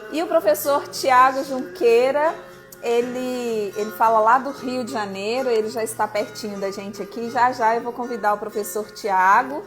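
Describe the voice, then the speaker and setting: A woman's voice: a little high, steady tone, moderate pace, faint music behind. A middle-aged woman hosting a live legal talk stream, chatty and laughing easily. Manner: warm, chatty, laughs often. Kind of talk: casual live-stream chat.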